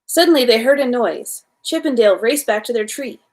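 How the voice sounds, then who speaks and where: A woman's voice: on the high side, expressive, fairly quick, a little mellow. A woman hosting a children's story time, reading a storybook aloud in an informal way with small stumbles.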